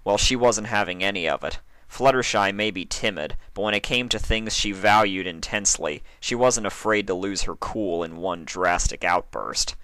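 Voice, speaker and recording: Male storybook narrator: very low pitch, forceful crisp edge, theatrical, deliberate scripted delivery. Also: no room sound. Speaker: fan fiction reader, narrator.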